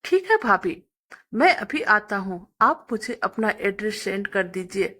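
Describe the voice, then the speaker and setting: A woman's voice: medium pitch, big pitch swings, unhurried, sharp tone, full character voice. A woman voicing a smitten male beauty parlour worker in a story, courteous and eager to please. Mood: agreeable. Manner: courteous, deferential.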